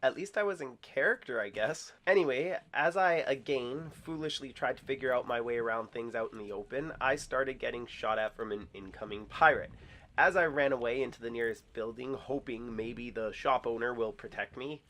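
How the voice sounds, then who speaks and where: A young man talks through his first impressions of a game as host of his own channel. His voice is stuffy from a cold and sits at an ordinary middle pitch. He keeps it upbeat and pokes fun at himself along the way. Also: normal speed, fairly bright tone. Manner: upbeat, self-deprecating.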